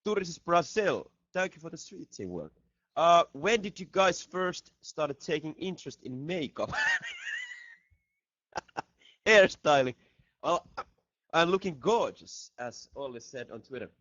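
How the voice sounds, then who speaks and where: A man's voice: fairly high pitch, wildly swooping pitch, takes it slowly, pauses often, a bit bright, no echo. A man, a band member, joking and reading out fan questions in a casual video chat. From Finland. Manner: playful, jokey, reads questions aloud. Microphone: laptop video call mic. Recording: dull lo-fi video call audio.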